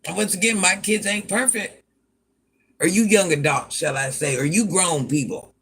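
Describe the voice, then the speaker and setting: A grandmother with a deep voice, chatting on a livestream and talking back to commenters with sass. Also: moderate pace. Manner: sassy, talks back to commenters by name. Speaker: livestream host. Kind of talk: casual livestream chat.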